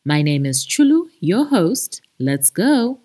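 A woman's voice: fairly low pitch, big pitch swings, takes it slowly, dark tone. A woman with a Zambian accent hosting a chatty podcast, talking expressively and addressing her listeners like friends. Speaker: podcast host. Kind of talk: chatty podcast monologue with announcements.

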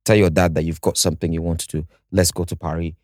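A man's voice: sits low, level tone, fast, a little mellow, dry recording, clean studio sound. A man in his forties with a Nigerian accent, hosting a casual podcast debate, teasing and pressing for answers and mixing in pidgin. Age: 40s. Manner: teasing, insistent, mixes pidgin. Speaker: podcast host.

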